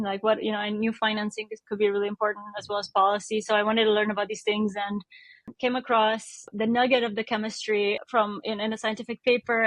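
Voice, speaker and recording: A woman's voice: mid-range voice, no room sound, low-quality recording. A woman in her thirties from Romania, a startup founder answering interview questions thoughtfully, often restarting her sentences. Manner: thoughtful, many restarts and self-corrections, light laughs.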